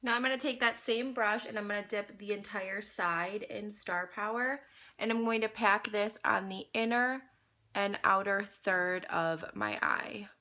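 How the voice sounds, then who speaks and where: Bright-voiced woman with a nasal cold. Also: medium pitch, a little slow. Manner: chatty, addresses viewers as you guys. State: congested with a cold, slightly nasal. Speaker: beauty vlogger. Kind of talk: casual makeup tutorial chat.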